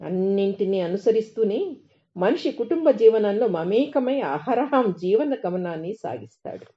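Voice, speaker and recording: A woman reads a reflective devotional essay as a narrator, in a measured way, phrase by phrase. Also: mid-range voice, expressive. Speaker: narrator reading articles aloud. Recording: dull, lo-fi recording.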